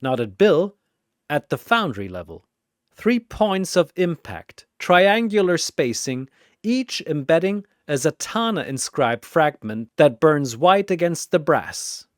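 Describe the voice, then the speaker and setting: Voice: mid-range voice, no echo, studio quality. A narrator delivering a dramatic, scripted battle story.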